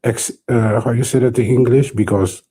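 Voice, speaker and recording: A man's voice: mid-range voice, fairly even intonation, normal speed, dark tone, husky. A man in his forties with a Guyanese accent, a native Creole speaker, debating casually and searching for words. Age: 40s. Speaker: guest debater.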